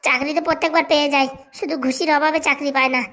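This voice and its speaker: Woman as cartoon young man, very high bright voice, cheeky, stubborn, glib, argumentative. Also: fairly even intonation, rapid-fire. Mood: stubborn, indignant. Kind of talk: comic cartoon dialogue.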